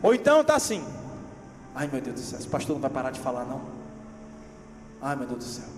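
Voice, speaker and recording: A man from Rio de Janeiro with a Carioca accent, preaching a lively sermon to his congregation, acting out everyday scenes and asking for amens. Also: medium pitch, fast talker, long reverb tail, a little hiss or hum. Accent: Carioca Brazilian Portuguese. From Rio de Janeiro, Brazil. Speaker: pastor. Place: church hall.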